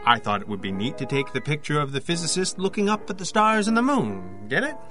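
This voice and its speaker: An actor playing an awkward, earnest photographer in an audio drama, speaking in halting, hesitant phrases. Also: male voice, on the high side, sing-song, fairly bright tone, soft background music. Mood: curious. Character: awkward, earnest freelance photographer confiding a strange story.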